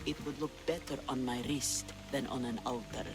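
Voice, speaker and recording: A woman's voice: rumbling low, fairly even intonation, moderate pace, background noise. An actress playing a sly, imperious, treasure-loving pirate queen of mature years, with a languid, theatrical delivery in a video game cutscene. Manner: languid, commanding, teasing, theatrical.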